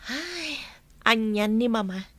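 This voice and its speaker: A woman's voice: on the high side, expressive, slow, dry recording. A woman playing a young, agitated, questioning daughter in a radio drama. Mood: anxious, puzzled. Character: young, anxious daughter of the household. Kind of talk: Ilocano radio drama acting. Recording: music behind the voice.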